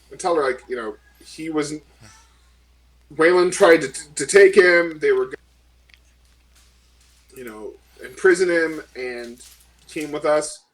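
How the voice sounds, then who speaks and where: Higher-voiced man improvising tabletop role-play. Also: poor audio. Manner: halting, thinking aloud. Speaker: player in a tabletop role-playing game. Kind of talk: casual improvised role-play, describing a character's actions.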